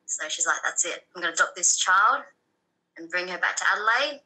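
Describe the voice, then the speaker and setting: Bright-voiced woman telling her story. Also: lowish voice, lively intonation, a little fast, rough recording. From Thailand. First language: Thai. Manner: soft-spoken, hesitant with frequent ums. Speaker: guest. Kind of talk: personal story interview.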